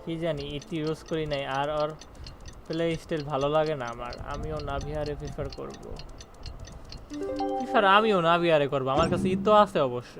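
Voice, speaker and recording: A man's voice: on the high side, rapid-fire. A man chatting casually as he streams a game, informal and repetitive, mixing in English gaming words. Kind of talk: casual gameplay chat and commentary. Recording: game music behind the voice.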